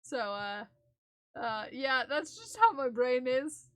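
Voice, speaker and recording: A woman's voice: sits high, very animated, slow pace, no room sound, studio quality. A young woman casually chatting on a live stream while she knits for charity. She rambles, giggles often and slips into playful bits of song. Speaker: livestreamer knitting for charity.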